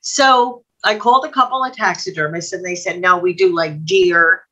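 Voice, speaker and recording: Older woman, bright forceful voice. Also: lowish voice, normal speed, muffled recording. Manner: chatty, digressive, quotes people as she tells stories. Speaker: guest storyteller. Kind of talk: casual anecdotal storytelling in a video chat. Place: home room, indoors. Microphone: video call.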